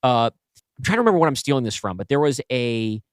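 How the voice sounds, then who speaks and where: A man co-hosting a podcast, brainstorming casually and thinking aloud in halting, self-correcting bursts. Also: medium pitch, lively intonation, smooth, no echo, studio quality. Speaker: podcast co-host and writer.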